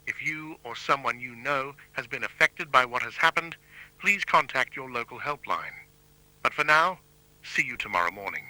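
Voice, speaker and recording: A man as a solemn, sharp-voiced TV announcer. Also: medium pitch, no echo, rough recording. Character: solemn male TV continuity announcer delivering emergency news. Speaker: continuity announcer. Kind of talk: scripted broadcast announcement.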